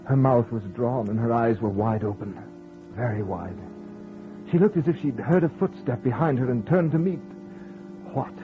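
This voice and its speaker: A man's voice: medium pitch, normal speed, lightly acted. An actor playing a haunted, grieving husband who narrates his ghostly tale in dramatic old-time radio horror style. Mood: grief, dread. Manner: dramatic, theatrical delivery. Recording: tinny old radio broadcast with music.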